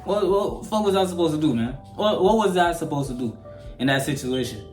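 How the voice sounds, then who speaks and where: A man's voice: a little high, expressive, a little fast, a little mellow, soft background music. Eighteen-year-old man with an American accent. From Sudan. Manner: rambling and conversational, often asks "you know what I'm saying?". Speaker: vlogger. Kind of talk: opinion rant to camera. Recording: roomy echo.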